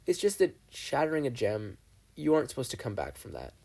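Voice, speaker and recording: Give me a man playing a subdued troubled young man, dark and husky. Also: medium pitch, wildly swooping pitch, fairly quick, full character voice. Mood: somber.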